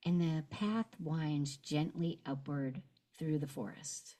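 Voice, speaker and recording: Slow, low-voiced woman leading gentle meditation. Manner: gentle, unhurried, with long pauses. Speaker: guided meditation leader. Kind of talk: slow guided meditation and visualization.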